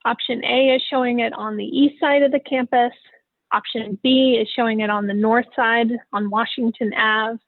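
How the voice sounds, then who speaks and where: A woman's voice: a little high, fairly even intonation, normal speed, no echo. A woman presenting plans at an online public meeting, over thin phone-like call audio. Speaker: facilities planning presenter.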